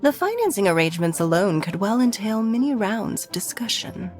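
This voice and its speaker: A woman's voice: medium pitch, lively intonation, a little mellow, soft background music, close to an everyday voice. A woman voicing a poised, formal city leader. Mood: composed. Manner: formal, measured, elegant. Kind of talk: video game voice acting.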